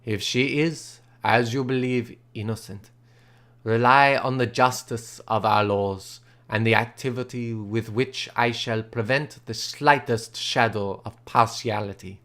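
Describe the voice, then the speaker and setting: A man reading aloud and voicing an older father who speaks slowly and reassuringly. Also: medium pitch, fairly even intonation, fairly bright tone, lightly acted. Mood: calm reassurance. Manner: slow, measured, reassuring.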